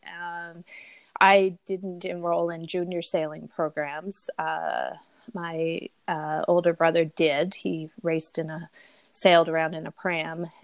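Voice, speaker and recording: Woman, middle-aged, interview guest, low voice, dry and close, loose chatty delivery. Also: gravelly, no echo. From Cape Breton, Canada. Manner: rambling, many fillers and restarts. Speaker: podcast guest. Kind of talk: casual interview talk.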